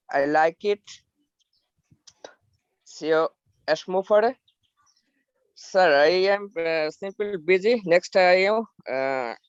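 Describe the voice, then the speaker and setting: A man from Bangladesh speaking haltingly in broken English on a video call, with many fillers. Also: a little high, smooth, no room sound. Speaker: webinar participant. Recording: muffled lo-fi call audio.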